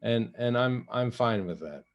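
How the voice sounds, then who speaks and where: An older man from Africa, Swahili his first language, telling his story in an interview in a measured way, often restarting and laughing lightly. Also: mid-range voice, animated, muffled recording. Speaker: guest, retired general and envoy. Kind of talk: interview conversation.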